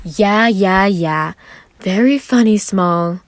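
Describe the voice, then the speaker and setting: A woman's voice: mid-range voice, slow, no echo, voice pushed up, close to an everyday voice. Woman plays short, fiery young male romantic lead, regal, confident, theatrical, dry sound. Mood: mock pouting.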